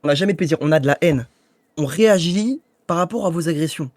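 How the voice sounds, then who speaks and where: A man's voice: on the high side, fast talker, darkish tone, no echo, clean studio sound. A man speaking as a protester in a debate, earnest and argumentative as he presses his point.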